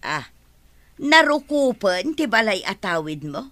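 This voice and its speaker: A woman's voice: mid-range voice, very animated, measured pace, fairly bright tone, no echo, clean studio sound. An actress playing a gossipy young woman who chats and teases.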